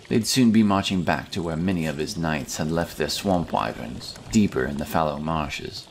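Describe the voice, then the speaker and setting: A man reading a scripted fantasy story as an audiobook narrator, in a dramatic, deliberate manner. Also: low-pitched, slight background noise.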